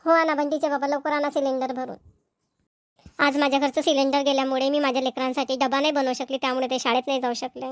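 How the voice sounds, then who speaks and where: A woman's voice: sits high, little pitch movement, fast, dry recording, studio quality, natural voice. A woman playing a practical, nagging village wife and mother in a cartoon comedy, with a Vidarbha Marathi accent.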